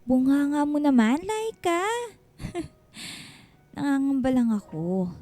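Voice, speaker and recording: A woman's voice: high voice, very animated, slow, mellow, dry recording, exaggerated voice. A woman with a Filipino accent playing an anxious, guarded single mother in a radio drama, speaking in a hesitant, emotional, pleading way. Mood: worried, confiding.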